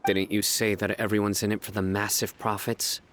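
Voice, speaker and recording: A stern young investigator, voiced by a man, measured and grave, never hurrying his firm words. His voice sits low, and quiet game music plays behind him. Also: little pitch movement, close to an everyday voice. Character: stern, serious young male investigator-general.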